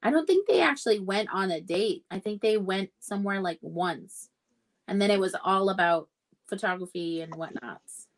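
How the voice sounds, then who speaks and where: A young woman talks informally about a book on a video call. Her voice has an average pitch, and she feels her way through thoughts, often restating a phrase. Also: expressive, moderate pace. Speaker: book club livestream co-host. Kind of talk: casual book discussion chat. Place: indoor room at home, on a video call.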